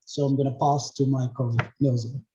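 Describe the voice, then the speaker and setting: A man born in Portugal to Cape Verdean parents, a company founder, introducing himself haltingly on a video call with many fillers. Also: medium pitch, little pitch movement, mellow. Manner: hesitant, many fillers and restarts. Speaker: CEO and founder. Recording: slightly dull video-call audio.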